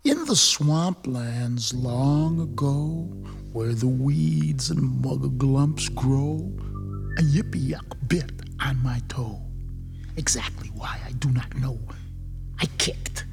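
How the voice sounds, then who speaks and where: A performer voicing a weary, limping parent from a storybook poem in a slow, hushed, ominous drawl. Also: medium pitch, animated, creaky, soft background music, voice pushed down.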